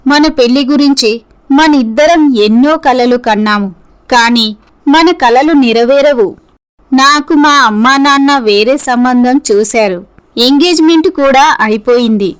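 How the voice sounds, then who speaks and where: A woman playing an earnest young office worker in a troubled romance, speaking deliberately in scripted story dialogue. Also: sits high, clean tone. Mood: serious, apologetic.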